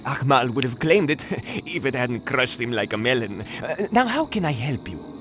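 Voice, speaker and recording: An actor playing an eager, conspiratorial market merchant in a video game, theatrical and obliging. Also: male voice, mid-range voice, big pitch swings, normal speed, fairly bright tone. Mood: excited, eager.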